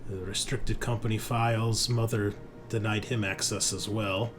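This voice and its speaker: A man's voice: on the low side, normal speed, music in the background. A man acting as game master, narrating a tabletop roleplaying game in an improvised way. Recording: noisy room with steady background ambience.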